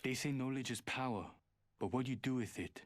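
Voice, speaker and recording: A man's voice: medium pitch, brisk, no echo, studio quality, close to an everyday voice. An actor voicing a guarded, arrogant male hacker in a video game, speaking in a terse, serious, superior way. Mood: cold, condescending. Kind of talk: video game character voice lines.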